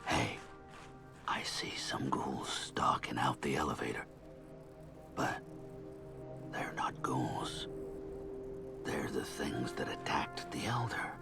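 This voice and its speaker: An actor playing a gruff, embittered male mutant stalker, with a gravelly, whispery voice, narrating a grim wasteland story in terse, deliberate phrases for a game. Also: rumbling low, sing-song, heavily acted. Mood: wary. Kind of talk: brooding first-person scripted game narration. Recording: loud music under the voice. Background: loud music.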